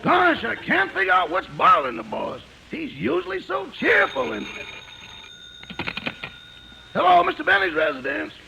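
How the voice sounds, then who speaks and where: A devoted, wisecracking manservant in vintage radio comedy. The gravelly voice sits very high and swings in pitch, on a badly noisy old recording. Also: male voice, big pitch swings, normal speed, pauses often, heavily acted. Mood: bustling, excitable. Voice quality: gravelly, raspy. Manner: emphatic, wisecracking. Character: devoted, cheeky male household servant. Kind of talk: 1950s radio comedy acting.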